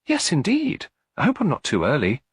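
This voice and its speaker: A man voicing a kindly elderly lady detective, gentle, reassuring and politely inquisitive, in a storybook reading. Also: on the high side, wildly swooping pitch, moderate pace, husky, no room sound, clean studio sound, pitched above the performer's own voice, full character voice.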